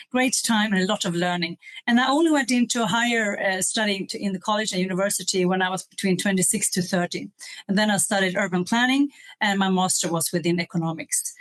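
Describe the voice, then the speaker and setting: A woman's voice: mid-range voice, a bit bright. A woman in her fifties, a corporate sustainability executive, talking through her career in an interview with frequent hesitations and fillers. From Sweden. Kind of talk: interview conversation. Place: in Switzerland.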